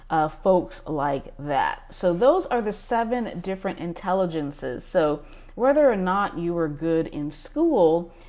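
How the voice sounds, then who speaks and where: A woman with a fairly low voice talks at an unhurried pace. She hosts a book club podcast and walks eagerly through a list of ideas. She fills the pauses with ums. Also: a little mellow. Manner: enthusiastic, reads lists with frequent ums. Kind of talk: casual podcast talk reading from a book.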